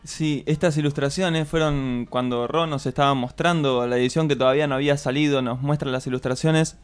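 Man co-hosting radio, smooth voice. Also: medium pitch, steady tone, a little fast, faint music behind. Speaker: radio co-host. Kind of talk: radio chat and reading aloud. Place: radio studio.

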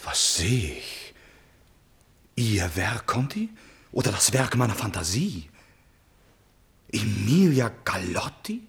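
An impulsive young-hearted prince in a stage-classic radio drama. His voice is on the low side and breathy-rough, swinging widely in pitch. He is polite and self-absorbed, with exclamations and musing asides. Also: big pitch swings, takes it slowly, long pauses, full character voice. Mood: awestruck. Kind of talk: classic German radio play acting.

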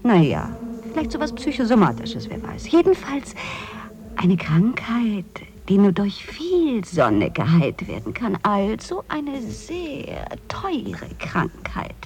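A woman's voice: mid-range voice, huge pitch swings, takes it slowly, darkish tone, heavily acted. An actress in a German radio play voicing a chatty, musing woman who confides in strangers, hesitant and often dropping into whispers. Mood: self-deprecating. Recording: music under the voice.

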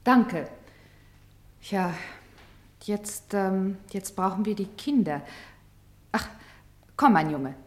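An actress playing a commanding play leader in a German radio drama, deliberate and insistent as she directs. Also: medium pitch, animated, a little mellow. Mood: coaxing. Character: commanding female play leader summoning people and places to speak.

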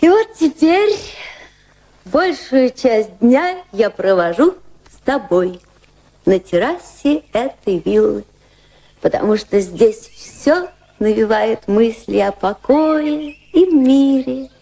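An actress playing a poised, gently teasing widow of about thirty in a Russian radio drama, speaking in a measured, reflective way. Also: female voice, fairly high pitch, expressive, full character voice. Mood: reflective, confiding. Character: poised thirty-year-old widow of English high society, gently teasing. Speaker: actress and narrator in radio play.

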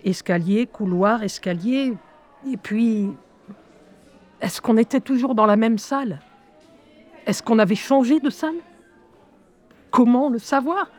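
A woman playing a lively young schoolgirl who narrates her life in a wry, confiding, expressive way in an audio drama. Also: on the high side. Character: lively eleven-year-old schoolgirl recounting life with inner voices.

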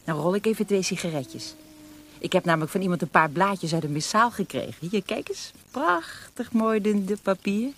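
A woman's voice: mid-range voice, expressive, moderate pace, fairly bright tone. A woman acting in a Dutch radio play as the chatty, wistful wife of an interned soldier, in naturalistic dialogue. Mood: cheerful. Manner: conversational, chatty, with small interjections. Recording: faintly noisy radio play recording.

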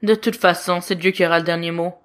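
A woman's voice: on the low side, steady tone, fast talker, natural voice. A woman voicing a young boy angel, serious and pragmatic, in a storybook reading. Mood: serious, decisive.

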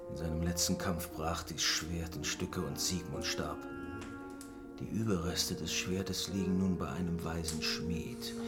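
Very deep-voiced mysterious wandering god. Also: male voice. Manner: measured, portentous. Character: mysterious wandering god in disguise, calm and knowing. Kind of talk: fantasy radio drama acting.

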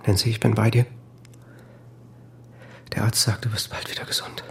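Distraught, stammering husband, faint noise. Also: male voice, on the low side, little pitch movement, gravelly. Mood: pleading, remorseful. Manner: halting, stammering, repeating himself. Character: distraught, guilt-ridden husband and father. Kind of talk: German radio play acting.

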